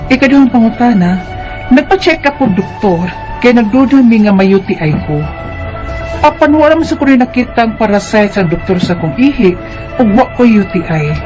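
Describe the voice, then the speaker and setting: A woman's voice: a little high, very animated, normal speed, music in the background, low-quality recording. A woman with a Cebuano Bisaya accent playing a tired, worried teenage schoolgirl who complains and questions in a radio drama. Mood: puzzled, troubled. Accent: Cebuano Bisaya Filipino.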